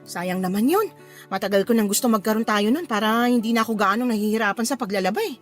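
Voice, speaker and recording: A woman playing a caring, inquisitive housewife and mother in a radio drama, homely and expressive. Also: medium pitch, fast, faint music behind, natural voice. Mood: caring, curious. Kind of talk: Filipino radio drama acting.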